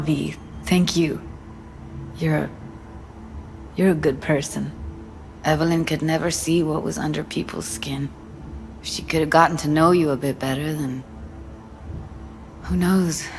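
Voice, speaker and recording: A sincere young woman for a video game. She speaks in a fairly low voice, hesitant, caring and earnest, with a naturalistic feel. Music plays faintly underneath. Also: fairly even intonation. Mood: tender, wistful. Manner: halting, earnest.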